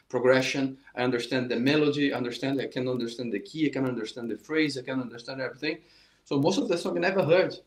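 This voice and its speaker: A man's voice: medium pitch, reverberant. A middle-aged Brazilian man with a Portuguese accent, teaching a saxophone lesson in earnest, repetitive, restarting English. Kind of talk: informal online music lesson.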